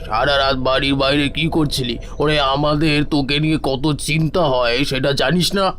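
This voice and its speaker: Man playing an agitated village father, higher-pitched. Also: steady tone, moderate pace, fairly bright tone, a little hiss or hum, lightly acted. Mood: worried, scolding. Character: worried, scolding middle-aged village father. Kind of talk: dramatised horror story dialogue.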